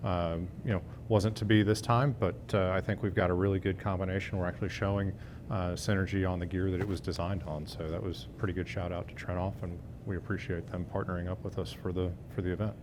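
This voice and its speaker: A man's voice: steady tone, fast talker, background noise. A man in his fifties, a sales director, giving a fluent product rundown in a hotel demo room. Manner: fluent, confident sales pitch.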